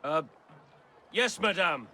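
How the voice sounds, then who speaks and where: An actor playing a rough male captain bossing dockhands in a video game, with a forceful manner. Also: a little high, lively intonation, slow pace. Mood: obedient. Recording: noisy outdoor dockside ambience.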